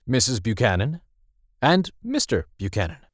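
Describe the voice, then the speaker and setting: A genteel male millionaire host in a literary audio drama. His formal speech is animated in pitch. It is recorded dry. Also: moderate pace, slightly rough, no room sound. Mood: proudly hospitable.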